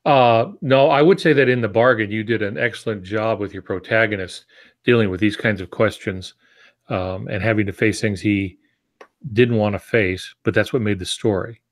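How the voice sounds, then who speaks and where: A man's voice: on the low side, fairly even intonation, moderate pace, no echo, studio quality. A man in his sixties chatting casually as a panelist on a video call. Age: 60s. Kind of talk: casual chat. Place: indoor home office, on a video call. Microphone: earbud headset.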